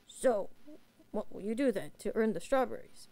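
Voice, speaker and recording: A woman voicing a fair, patient male master in a bedtime story, with a measured, firm manner. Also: mid-range voice, expressive, gravelly, mellow, no echo.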